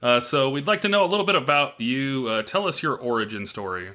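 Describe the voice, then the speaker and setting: A man's voice: mid-range voice, expressive, fairly quick, pushed and bright. A man hosting an interview podcast in a casual, upbeat way, with plenty of filler words. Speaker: podcast host.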